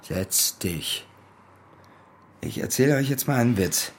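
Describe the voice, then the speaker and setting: A man's voice: mid-range voice, lively intonation, unhurried, slight background noise, natural voice. A man acting in a radio drama as an unhinged young soldier who barks orders and turns falsely friendly. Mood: taunting. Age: young adult. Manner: volatile, barking orders, abruptly falsely friendly. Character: menacing unhinged young soldier holding teenagers hostage.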